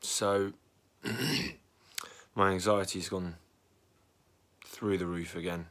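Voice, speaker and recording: A young man talking anxiously in a casual vlog, congested and a little raspy from a cold, clearing his throat often. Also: sits low, drawn-out words, fairly bright tone. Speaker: vlogger.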